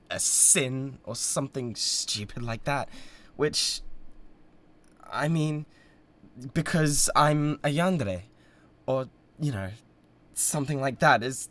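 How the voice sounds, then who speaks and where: A man's voice: mid-range voice, sharp tone. A British man playing a bratty, obsessive yandere livestreamer in a dramatic audio roleplay, talking to viewers in a theatrical, stammering, defensive way.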